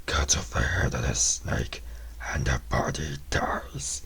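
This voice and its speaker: A man voicing a menacing, calculating monstrous horde soldier in a deep, raspy growl, speaking slowly in a scripted drama. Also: fairly bright tone, whispered, heavily acted. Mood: cold, scheming. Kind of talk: scripted audio drama dialogue.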